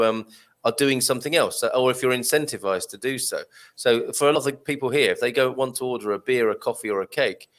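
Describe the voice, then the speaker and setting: A male host leads a relaxed interview with real enthusiasm. His pitch rises and falls a great deal, and he repeats words while heaping praise on his guest. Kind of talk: casual interview chat.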